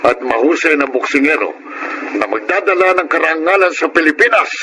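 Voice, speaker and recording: A man's voice: fairly high pitch, brisk, close to an everyday voice. A man playing a friendly, hearty boxing coach who coaxes and encourages, in a Filipino radio drama. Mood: encouraging. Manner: hearty, coaxing, informal. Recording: noisy radio drama audio.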